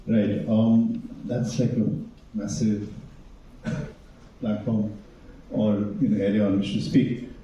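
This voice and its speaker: A man's voice: fairly low pitch, level tone, slow pace, long pauses, mellow, a little hiss or hum. A man whose mother tongue is Nepali, speaking on a panel with many hesitations and restarts. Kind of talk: panel discussion. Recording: echoey hall from far off, dull sound.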